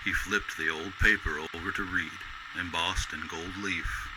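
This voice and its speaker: Male narrator, very low pitch, measured storybook delivery, old-time radio drama style, noisy ambient effects behind. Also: fairly even intonation.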